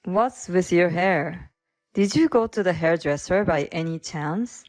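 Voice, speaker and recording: A woman's voice: fairly low pitch, a little slow, soft background music, close to an everyday voice. A woman voicing a suspicious, scolding husband in a spoken story, blunt and accusing.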